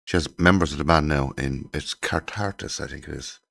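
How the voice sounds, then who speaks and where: A middle-aged man hosts his channel in a loose, rambling chat. His voice sits extremely low, with a gravelly fry, and a cold leaves it croaky. He sounds enthusiastic as he works through his CD collection. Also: brisk, fairly bright tone. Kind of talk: casual chat to camera.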